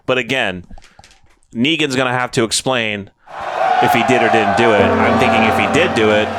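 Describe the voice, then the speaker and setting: A man hosting a TV reaction video, commenting casually and animatedly. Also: medium pitch. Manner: animated, casual, swears freely.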